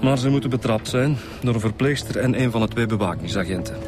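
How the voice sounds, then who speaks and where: A man's voice: medium pitch, fast talker, loud music behind the voice, lightly acted. A man playing a methodical, matter-of-fact homicide detective in a radio drama, speaking with a Flemish accent. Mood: factual, grave. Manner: methodical, factual. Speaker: inspector.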